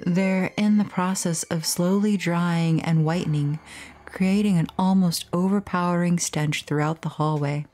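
A woman's voice: lowish voice, fairly even intonation, measured pace, mellow. A woman game streamer casually playing a horror game and reading its text aloud. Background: game music.

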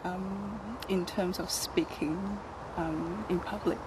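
A young woman from Taiwan, raised in New Zealand, answering interview questions hesitantly, with many pauses, on a handheld mic outdoors. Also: on the low side, fairly even intonation, noisy background. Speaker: student guest. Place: outdoors in a garden by a stone building.

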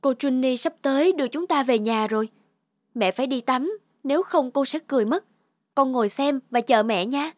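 A woman's voice: sits high, normal speed, dry recording, clean studio sound, natural voice. A woman playing a loving, grieving mother in a cartoon drama, with a tender, emotional and pleading manner. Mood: soft. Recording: loud music bed behind the voice. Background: music.

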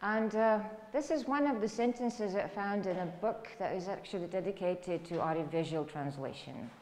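A middle-aged woman from Serbia with a Serbian accent, giving an academic lecture in a halting, explanatory way. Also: mid-range voice, a little mellow. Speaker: university lecturer. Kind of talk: academic lecture, spoken freely. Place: indoor lecture room, standing by a lectern. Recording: echoey lecture room with background noise.

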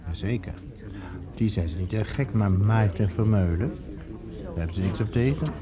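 A man's voice: fairly low pitch, normal speed, lo-fi sound, lightly acted. An actor playing a breezy, cheeky man living under a false identity, talking in a teasing, casual way in a radio drama. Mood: jaunty, bluffing. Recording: radio recording with café chatter behind.

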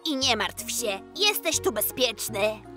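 A woman's voice: fairly high pitch, expressive, slow. A woman voicing a cheerful, caring young boy trainer in a fan comic dub, upbeat and reassuring. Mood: friendly. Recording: music underneath.

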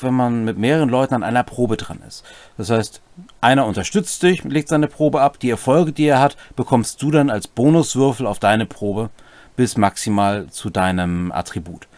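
Middle-aged male co-host, animated pitch and dry close sound, casual rules explainer with playful asides. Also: dry recording. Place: small indoor home room.

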